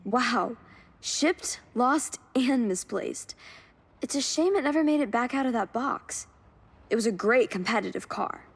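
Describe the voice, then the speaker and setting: A woman's voice: a little high, expressive, measured pace, vocal fry, husky, full character voice. A woman playing an upbeat, friendly car enthusiast in video game cutscene dialogue. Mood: admiring. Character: excited young female car enthusiast, upbeat barn-find partner. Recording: vehicle and ambient noise behind.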